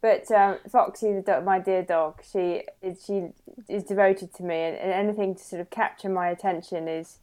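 A woman's voice: mid-range voice, steady tone, moderate pace, a little mellow. A woman in her thirties, a podcast guest, telling her story in a chatty way with restarts and self-corrections. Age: 30s. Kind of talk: casual interview conversation.

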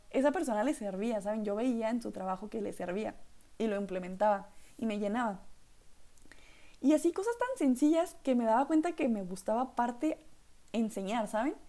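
A young woman from northern Mexico hosts her own podcast, speaking with a clear northern Mexican accent. Her voice sits a little high but has a mellow, gravelly fry to it. She talks in a loose, chatty way, circling back with fillers and asides. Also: lively intonation, a little fast. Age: young adult. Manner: chatty, rambling, lots of fillers like "literal" and "¿saben?". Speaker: podcast host. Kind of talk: casual solo podcast monologue.

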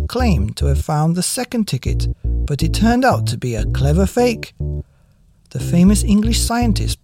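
A man reading a children's story in an expressive, scripted storytelling manner. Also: high voice, vocal fry. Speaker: audiobook reader.

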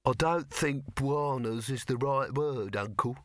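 A man's voice: mid-range voice, unhurried, fairly bright tone, no room sound, clean studio sound, close to an everyday voice. A man voicing a skinny, earnest, pedantic youth in an audiobook, hesitant and forever correcting. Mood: doubtful. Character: skinny, earnest, pedantic male youth, timidly contradicting his boss.

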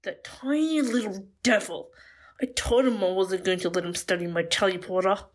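A woman's voice: mid-range voice, moderate pace, close to an everyday voice. A woman playing a stubborn, hot-headed young woman with powers in an audio drama. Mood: vengeful.

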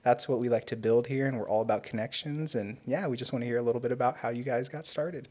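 An American man with a medium-pitched voice chats as a podcast co-host. He is informal and talkative, leaning on his words for emphasis in casual interview conversation. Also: fast talker, darkish tone. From Southern California, USA.

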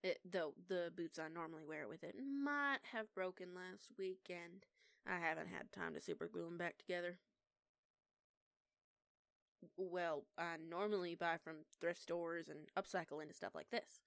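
Woman acting a prickly, low-voiced young punk werewolf. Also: creaky, a bit bright, dry recording. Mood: chatty, self-assured. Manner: sweary, casual, sarcastic, halting when flustered. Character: eighteen-year-old punk lone-wolf werewolf woman, prickly, defiant. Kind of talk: intimate one-sided audio roleplay acting.

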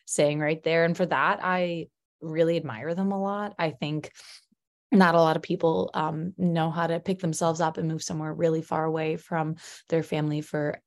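Bubbly young woman, low voice. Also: fairly even intonation, normal speed. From Chicago, United States. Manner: bubbly, laughs often, uses many fillers. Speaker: podcast co-host. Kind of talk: casual podcast chat.

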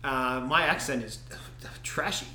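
A man's voice: mid-range voice, takes it slowly, echoey room. A man co-hosting a casual podcast about tabletop role-playing, telling stories and laughing often. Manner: laughs often, relaxed storytelling. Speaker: podcast co-host. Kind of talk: casual chat.

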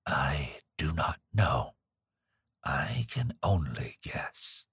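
A man voicing a frail, very old woman nurse in a cracked, whispery little voice, speaking slowly in a storybook reading. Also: a bass voice, big pitch swings, creaky, breathy edge, no echo, studio quality, full character voice. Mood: hushed. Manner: slow, hushed.

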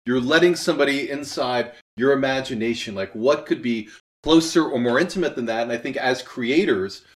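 A man with a mid-pitched voice talks thoughtfully in an interview. He explains things earnestly and articulately, like a creator discussing his craft. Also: fairly quick. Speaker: audio drama writer and creator, interview guest.

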